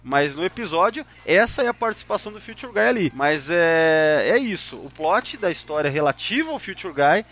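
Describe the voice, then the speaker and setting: A man's voice: fairly high pitch, very animated, moderate pace, hardly any pauses, fairly bright tone. A Brazilian man hosting a casual discussion of a sci-fi series, explaining insistently and often checking that others agree. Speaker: podcast host leading the discussion.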